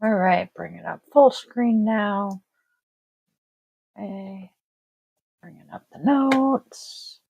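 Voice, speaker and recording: A congested, worn-out woman talks at a slow, drawn-out pace, rambling and trailing off with sighs as she hosts her tarot stream. Her voice sits at an ordinary pitch and sounds dry and close to the mic. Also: creaky, darkish tone, husky, no room sound. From Washington State, US. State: congested, sniffly. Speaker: tarot reader and livestream host. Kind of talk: casual livestream chat.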